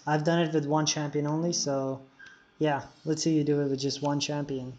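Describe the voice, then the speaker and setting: A man's voice: fairly high pitch, flat delivery, normal speed, a little mellow. A man streaming a mobile game live, chatting casually with viewers and giving tips between fights. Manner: casual, answers chat while playing, frequent fillers. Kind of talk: live-stream commentary while playing. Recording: dull lo-fi recording.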